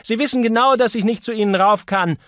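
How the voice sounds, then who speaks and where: A man's voice: sits high, fast, fairly bright tone, dry recording, studio quality, pitched above the performer's own voice. A man voicing a flighty, spoiled young woman who chatters in a gushing, insistent way, as an audiobook character. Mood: petulant, demanding. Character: flighty, spoiled young party woman, petulant and craving thrills.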